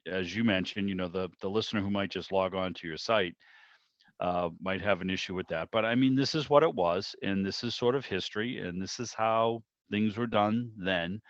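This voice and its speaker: Male interviewer, lowish voice, discursive and chatty, heard over a dull, roomy video call. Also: a bit bright. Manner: rambling, discursive, circles around his point. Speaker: interviewer, club meeting host. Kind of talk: casual interview chat.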